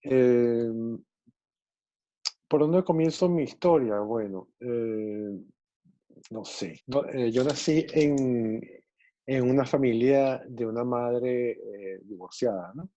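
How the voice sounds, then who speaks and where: A man in his forties from Venezuela with a Venezuelan accent, telling his story as a guest on a video call in a relaxed way with many pauses and fillers. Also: mid-range voice, dry recording. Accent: Venezuelan Spanish. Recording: muffled video call audio.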